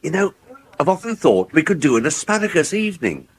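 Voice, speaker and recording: A man's voice: a little high, big pitch swings, fairly quick, husky. An actor playing a mild, enthusiastic country-house man, placating in manner, in a radio soap.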